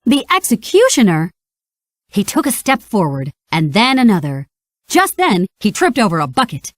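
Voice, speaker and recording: A woman narrates a children's read-along storybook with expressive energy. Her voice moves up and down freely through each sentence. The recording is dry and close, with no room around it. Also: mid-range voice, normal speed, dry recording. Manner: animated, expressive.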